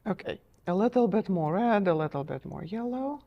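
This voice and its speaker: A middle-aged woman teaching a step-by-step painting lesson in a TV studio, gentle and encouraging, her voice a little strained as she is losing it. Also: mid-range voice, expressive, moderate pace, lo-fi sound. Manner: gentle, encouraging, checks in with "okay?". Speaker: painting instructor.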